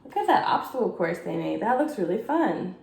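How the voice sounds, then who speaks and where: A woman, a teacher, reads a children's bedtime story with lively expression in a mid-pitched voice. She pauses to put questions to her young listeners, and her room gives the voice a clear echo. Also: slight background noise. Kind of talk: children's bedtime read-aloud. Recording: echoey room.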